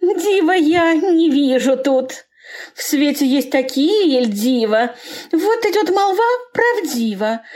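A woman playing a sly, sneering old matchmaker in fairy-tale verse, with a drawn-out, mocking singsong delivery. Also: high voice, expressive, a little mellow, voice pushed up. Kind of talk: theatrical Russian fairy-tale verse recital.

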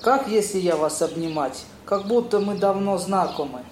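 High-pitched young gentleman played by a man, flustered and polite, halting foreign grammar, roomy audio-play sound. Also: close to an everyday voice. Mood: flustered, pleading. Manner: halting, ungrammatical foreigner's speech. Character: courteous young Italian man, flustered, speaking broken Russian. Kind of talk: amateur audio play acting. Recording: roomy echo, noisy birdsong ambience.

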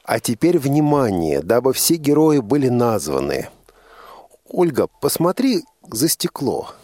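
A man's voice: medium pitch, very animated, measured pace, dark tone, no echo. A man hosting a live radio talk show from a studio, putting questions and linking segments. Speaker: radio host.

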